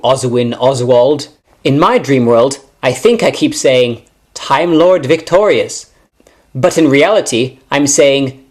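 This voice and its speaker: A glib and animated time-travelling hero, played by a man, talks in long mock-serious explanations. He is zany and self-mocking, with lively pitch, as in an amateur sci-fi spoof. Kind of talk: amateur scripted sci-fi parody.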